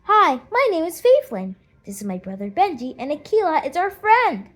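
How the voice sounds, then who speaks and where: Woman doing a young girl, friendly, eager, high pitch, swooping melody, children's storybook. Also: big pitch swings, moderate pace, a bit bright, exaggerated voice.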